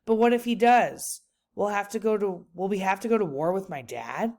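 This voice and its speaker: A woman's voice: medium pitch, expressive, normal speed, a little mellow, natural voice. A woman voicing a cautious, doubtful young grandson in a storybook, in a plain, hesitant, questioning manner. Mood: worried.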